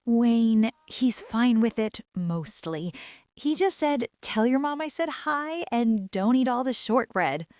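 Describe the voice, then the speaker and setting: A woman plays an easygoing young radio co-host. Her fairly high voice makes light, chatty banter, recorded close and dry. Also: animated, takes it slowly, a little mellow, dry recording.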